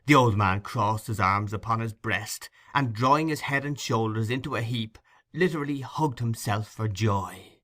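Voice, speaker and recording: A man narrating classic fiction as a scripted audiobook, in a measured, articulate storytelling manner. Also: fairly low pitch, a bit bright.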